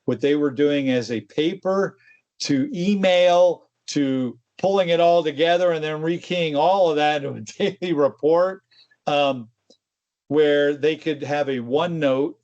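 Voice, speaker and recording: A man's voice: a little high, slow pace, darkish tone, lo-fi sound. A man co-hosting a tech show, explaining in a casual, conversational way with informal asides. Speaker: co-host of a tech discussion show.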